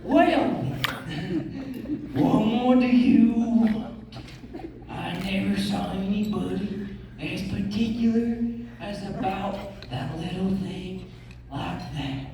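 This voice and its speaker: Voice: medium pitch, takes it slowly, gravelly, pauses often. An actor playing a brash, boastful old teller of tall tales in a readers theatre show, theatrical and indignant when doubted. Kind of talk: readers theatre storytelling on stage. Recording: echoey room from far off, noisy.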